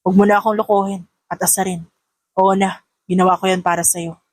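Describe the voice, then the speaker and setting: A woman voices an earnest young father in love, dropped well below her natural pitch. He sounds tender and sincere, in the manner of a romance audio drama, recorded close and dry. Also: little pitch movement, brisk, no echo, natural voice. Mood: sheepish, earnest. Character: lovestruck, earnest young father wooing his sweetheart.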